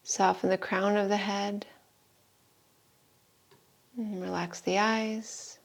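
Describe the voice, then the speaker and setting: A woman yoga teacher giving guided meditation and breathing instructions in an unhurried, soothing manner with long pauses. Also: mid-range voice, flat delivery, vocal fry. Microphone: live video call stream.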